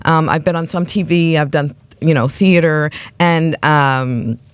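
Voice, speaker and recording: A woman's voice: low voice, a little slow, clean tone, no room sound. A middle-aged woman with an American accent, talking reflectively and expressively as an interview guest. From Cleveland, Ohio, USA. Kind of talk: conversational interview.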